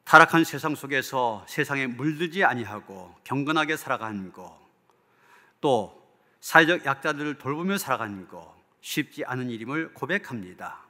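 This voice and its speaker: A man's voice: mid-range voice, sharp tone. A man preaching as a pastor in an earnest, emphatic and rhetorical manner. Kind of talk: sermon preaching, closing in prayer. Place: church hall. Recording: long hall reverb.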